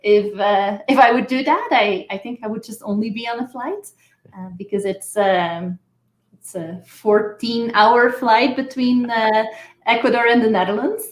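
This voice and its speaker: A woman from Belgium, a professor, giving measured, explanatory answers in a podcast interview. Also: a little high. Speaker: guest, engineering professor. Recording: echoey room.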